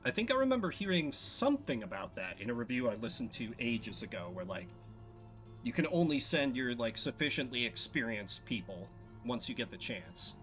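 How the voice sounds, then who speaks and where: Lively, bright-voiced man streaming a game. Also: mid-range voice, normal speed, faint music behind. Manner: thinking aloud, musing. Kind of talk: casual livestream commentary while playing a game.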